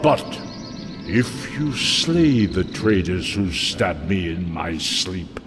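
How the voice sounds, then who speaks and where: A man's voice: sits low, very animated, takes it slowly, long reverb tail, exaggerated voice. An actor playing the mournful, vengeful ghost of a betrayed nobleman in a fantasy game, solemn and theatrical. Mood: grave, urgent. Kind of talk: dramatic fantasy game acting. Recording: loud music behind the voice. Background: dramatic game music.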